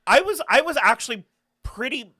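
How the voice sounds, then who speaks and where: A man chatting in a lively, swooping voice that climbs high when he gets excited. His tone is bright and a little hoarse, as if his voice is wearing out. He gushes and trails off, then restarts. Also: normal speed. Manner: animated, gushing, trails off and restarts. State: losing his voice. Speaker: podcast co-host. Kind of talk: casual chat while building.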